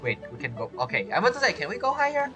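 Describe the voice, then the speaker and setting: A woman's voice: deep voice, wildly swooping pitch, very fast. A woman giving casual video game playthrough commentary, rambling and thinking aloud with questions to herself. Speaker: gamer, video creator. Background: game music.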